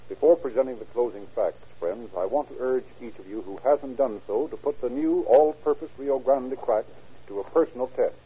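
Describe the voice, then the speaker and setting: A man's voice: medium pitch, moderate pace, dark tone, slightly rough. An American man narrating and reading sponsor messages as an announcer in 1930s radio style. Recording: muffled 1930s radio recording.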